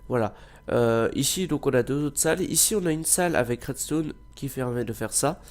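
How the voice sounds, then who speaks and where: A man's voice: mid-range voice, fairly quick. A man with a cold giving casual, rambling gameplay commentary, hesitating often with filler sounds. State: has a cold. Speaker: gameplay video creator.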